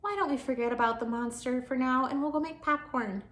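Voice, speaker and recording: A patient grandmother, voiced by a woman reading a storybook, high in pitch and a little weary. She reasons firmly, in an echoey room. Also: a little fast, fairly bright tone. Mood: soothing. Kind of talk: children's storybook read-aloud.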